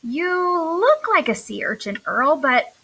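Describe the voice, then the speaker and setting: An earnest, literal-minded furry male pet from a children's storybook, voiced by a woman. He speaks in a high, lively voice, polite and careful, laying things out in a matter-of-fact way. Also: a little slow, heavily acted. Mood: puzzled, reasoning.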